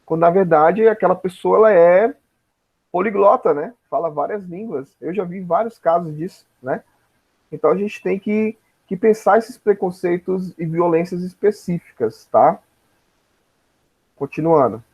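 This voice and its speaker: Brazilian guy teaching a class, kinda high voice, keeps checking you follow, muffled lo-fi sound. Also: normal speed, breathy edge. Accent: Brazilian Portuguese. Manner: didactic, frequent tag questions checking understanding. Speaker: teacher, lecturer. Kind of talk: informal lecture to students.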